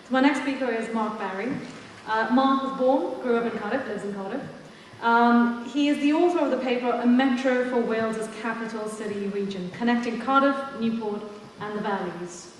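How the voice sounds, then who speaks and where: Higher-voiced woman chairing in an echoey hall. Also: moderate pace. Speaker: event chair introducing speakers. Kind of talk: spoken introduction at a public forum. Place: large indoor forum venue. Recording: very echoey hall, distant mic, faint noise.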